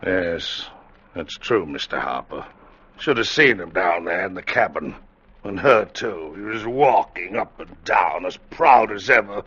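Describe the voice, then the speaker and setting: An actor playing a guilt-crazed, haunted ship captain, pleading and raving in melodramatic old-time radio drama style. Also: male voice, sits low, lively intonation, a little slow, fairly bright tone, breathy edge, full character voice. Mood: brooding. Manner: frantic, rambling, melodramatic. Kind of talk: 1940s-50s radio drama acting. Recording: dull, noisy vintage radio recording.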